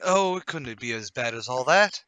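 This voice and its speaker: Man playing a bright, chatty, curious fellow. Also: mid-range voice, lively intonation, normal speed, smooth, no echo, pitched below the performer's own voice. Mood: curious, sympathetic. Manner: friendly, inquisitive, talkative. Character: chatty, curious, good-natured male passer-by. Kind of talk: radio play table read.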